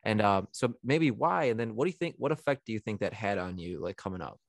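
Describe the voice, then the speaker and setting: A young man with a fairly low voice who hosts a podcast in a loose, slangy way, packing his talk with fillers. The recording is dry and close, with no room sound. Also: lively intonation, quick pace, no room sound. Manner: laid-back, slangy, lots of likes and you knows. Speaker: podcast host. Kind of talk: casual conversational interview.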